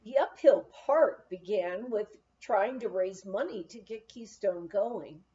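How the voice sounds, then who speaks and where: A woman reading a memoir aloud as an audiobook, with an expressive, deliberate storytelling delivery. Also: medium pitch, dull audio. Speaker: audiobook reader. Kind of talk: scripted memoir narration, read aloud.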